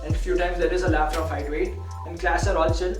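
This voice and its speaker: A man's voice: on the high side, flat delivery, brisk. A young adult man with a South Indian accent, a college student chatting casually and emphatically about campus life. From Hyderabad, India. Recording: echoey room, distant mic, music behind.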